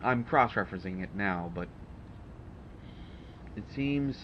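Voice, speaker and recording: A man's voice: on the low side, lively intonation, measured pace, dull audio, slight background noise. A man playing a haughty, prickly supervillain mastermind in an audio roleplay, speaking in formal, condescending, exasperated phrases. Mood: pondering. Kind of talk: audio roleplay acting addressed to the listener.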